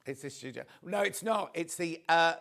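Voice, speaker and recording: A man hosting a live shopping show, chatty and friendly, reading out viewer messages with a slightly croaky voice. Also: on the high side, moderate pace. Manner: chatty, reads viewer messages aloud warmly. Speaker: shopping channel host.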